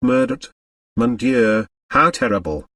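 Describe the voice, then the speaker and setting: A man's voice: mid-range voice, huge pitch swings, unhurried, gravelly, fairly bright tone, no room sound, studio quality, recorded from a distance, heavily acted. A man voicing a haughty, suave French aristocrat with a formal manner in an audiobook. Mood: shocked, indignant. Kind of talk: audiobook character dialogue.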